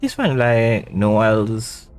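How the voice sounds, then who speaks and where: A male game streamer reading dialogue aloud during a playthrough in a halting, stumbling manner. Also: lowish voice, very animated, a little mellow, soft background music.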